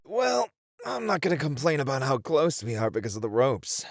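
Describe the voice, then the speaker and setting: A man's voice: mid-range voice, sing-song, moderate pace, vocal fry, no echo, studio quality. A man playing a cocky, flirtatious captured master ninja with a flippant, sighing delivery in a scripted ASMR audio roleplay. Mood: flirty.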